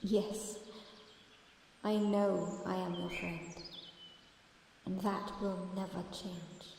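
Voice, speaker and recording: A woman voicing a curious, protective young female dragon in a soft-spoken, earnest ASMR roleplay. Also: fairly low pitch, level tone, extremely slow, gravelly, full character voice. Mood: wistful, tender. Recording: very echoey, long reverb, faint background noise.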